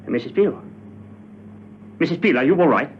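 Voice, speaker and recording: Actor as nervy, high-voiced male agent. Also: animated, moderate pace, natural voice. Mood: alarmed. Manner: stammering, hesitant. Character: nervy, alarmed male agent, near panic. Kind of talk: vintage radio drama acting. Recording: dull, hissy old radio recording.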